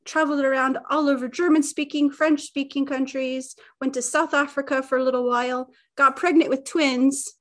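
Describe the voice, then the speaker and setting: Upbeat talkative woman, higher voice. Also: steady tone, moderate pace, few pauses. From Utah, USA. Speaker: podcast guest, YouTuber. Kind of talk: casual interview chat.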